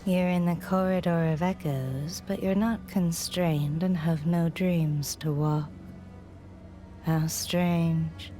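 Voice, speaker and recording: Slow, even-toned enigmatic woman. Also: lowish voice, faint music behind. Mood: cryptic, detached. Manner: cryptic, measured, formal. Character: enigmatic, detached woman calling herself Time, cryptic guide. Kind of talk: video game voice acting.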